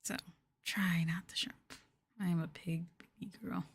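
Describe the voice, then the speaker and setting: A woman hosting an ASMR livestream, chatting casually and gently in a soft, breathy voice that often drops into a whisper, close to the mic. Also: on the low side, steady tone, unhurried, slight background noise. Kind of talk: soft-spoken ASMR casual chat with viewers. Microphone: close ASMR microphone.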